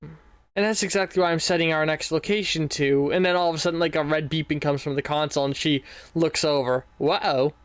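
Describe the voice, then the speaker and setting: A man's voice: a little high, steady tone, fairly quick, clear, resonant tone, no echo. A man narrating a tabletop game as game master, in a casual, rambling way full of fillers.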